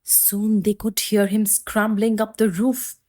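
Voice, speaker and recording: Slow, expressive woman storyteller. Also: medium pitch. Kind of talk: children's read-aloud narration.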